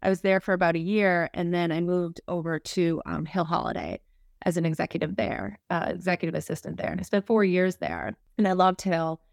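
A woman's voice: on the low side, brisk, vocal fry, dry recording, studio quality. An American woman from Minnesota speaking as an interview guest, telling career stories informally with lots of "like" and "you know". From Minnesota, United States. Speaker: podcast guest, human resources executive. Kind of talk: casual career interview, conversational storytelling.

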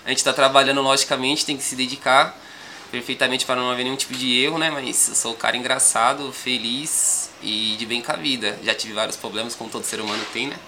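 A young man with a São Paulo accent, a rapper guest chatting calmly in a podcast interview, rambling with frequent tag questions. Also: mid-range voice, fast, background noise. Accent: São Paulo Portuguese. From Brazil.

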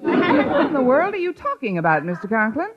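An actress playing a wry, wisecracking English teacher in old radio comedy, with a dry, sardonic manner. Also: female voice, high-pitched, big pitch swings, fairly quick, a faint crowd. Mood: breezy. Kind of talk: 1940s-50s radio comedy acting. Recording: muffled old radio broadcast recording.

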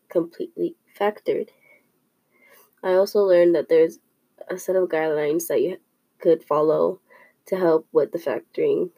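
A woman's voice: lowish voice, creaky, warm tone, no room sound, poor audio. A young woman with a sniffly, slightly stuffed-up voice, explaining a math lesson for a podcast, thinking aloud as she goes. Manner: slow and careful, thinking aloud with small restarts. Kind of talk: informal classroom podcast explanation.

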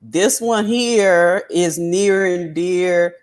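A man's voice: sits high, extremely slow. A man hosting an interview who politely thanks the guest and introduces the next segment. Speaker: host. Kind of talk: radio-style interview hosting.

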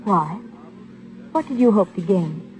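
A woman's voice: mid-range voice, animated, measured pace, darkish tone, slight background noise, lightly acted, rough recording. An actress playing a composed, questioning female client in a vintage 1940s radio detective drama. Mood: curious.

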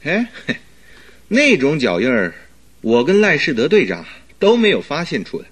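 An actor playing a detective's good-natured, curious companion in a radio drama, with a husky voice and a hesitant, questioning manner. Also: male voice, on the high side, huge pitch swings, normal speed, a bit bright, exaggerated voice. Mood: curious, puzzled. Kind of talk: Chinese radio drama acting.

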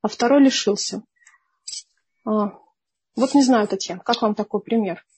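A woman's voice: mid-range voice, normal speed, a little mellow, husky. A woman hosting a casual podcast conversation, thinking aloud and correcting herself as she goes.

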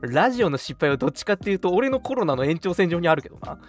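A man hosting a radio show in casual chat, talking in an animated, chatty way with a co-host. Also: high-pitched, rapid-fire, faint music behind.

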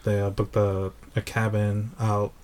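Casual male podcast guest, age thirty, lowish voice, easygoing rambling delivery. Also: unhurried. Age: 30s. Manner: relaxed storytelling, many fillers. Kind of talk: casual chat.

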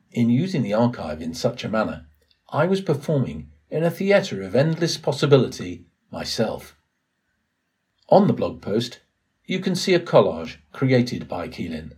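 A man reading a written essay aloud as scripted narration, in a formal, measured way. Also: lowish voice, darkish tone, breathy edge. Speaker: volunteer reader of an audio blog post.

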